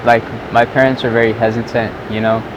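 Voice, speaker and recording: A man's voice: mid-range voice, level tone, brisk, muffled recording. Rambling young man outdoors. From Southern California. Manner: loose and rambling, many "like"s. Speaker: vlogger and photographer. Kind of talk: casual chat to camera. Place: outdoors at sunset. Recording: outdoor noise with traffic.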